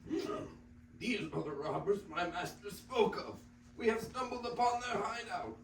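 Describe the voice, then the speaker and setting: Teen boy doing bossy earnest donkey leader, fairly high voice, echoey classroom. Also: animated, unhurried. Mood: commanding. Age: teenager. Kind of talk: classroom reader's theater. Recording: echoey classroom, distant mic, faint noise.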